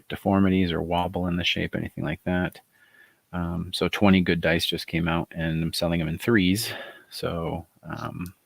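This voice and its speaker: A middle-aged man with a soft, gravelly voice, chatting casually and rambling on a live stream while showing handmade dice. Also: sits low, no echo. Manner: relaxed, rambling, many fillers. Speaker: author hosting a live chat.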